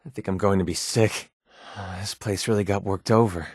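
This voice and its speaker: An actor playing a wry, guarded young American mercenary companion in a video game, talking in a sardonic, conversational way. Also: male voice, deep voice, fairly even intonation, normal speed, breathy edge, no room sound, clean studio sound. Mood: wary, wry. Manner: sardonic, conversational, hesitant asides.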